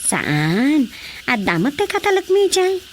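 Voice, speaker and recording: An actress playing a gossipy village woman in a radio comedy, chatty and expressive, with an Ilocano accent. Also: sits high, a little slow, a little hiss or hum, full character voice. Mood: casual, caring.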